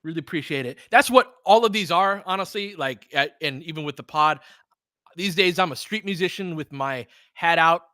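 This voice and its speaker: A man's voice: on the high side, moderate pace, bright, forceful tone, no room sound, studio quality. A man hosting a live basketball stream, chatting and commentating casually in a blunt, salty, informal way.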